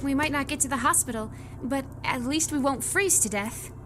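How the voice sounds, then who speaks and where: A woman's voice: sits high, normal speed, noisy background, natural voice. A woman playing a blunt, inquisitive young pregnant woman in an audio drama. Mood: frank, resigned.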